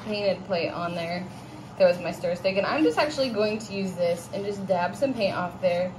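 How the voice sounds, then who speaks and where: A woman's voice: medium pitch, moderate pace. A woman rambles through a home kitchen project, stopping and restarting her sentences with plenty of ums. She is recorded on a handheld phone indoors. A faint background hiss sits under her voice. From Nebraska, USA. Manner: chatty, rambling, many "um"s and restarts. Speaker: farm vlogger. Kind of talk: casual DIY vlog narration. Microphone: handheld phone camera.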